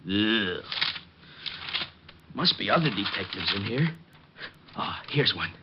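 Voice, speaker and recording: A man's voice: lowish voice, very animated, takes it slowly. An actor playing a fussy, vengeful grouch of a man in an old-time American radio drama, muttering and flustered. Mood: grumbling, scheming. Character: fussy, exasperated, vengeful male grouch of a neighbour. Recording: muffled, noisy old radio broadcast.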